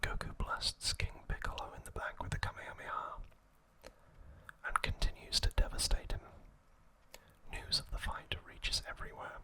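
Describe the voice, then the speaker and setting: A man hosting ASMR, speaking softly and haltingly in an unhurried whisper, close to the microphone. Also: poor audio. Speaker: ASMR channel host. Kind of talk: whispered ASMR, reading episode summaries and chatting to viewers.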